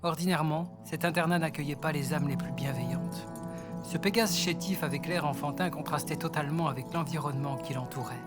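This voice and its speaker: Male story narrator over loud music. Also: a little high, fairly quick. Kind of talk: scripted fantasy story narration.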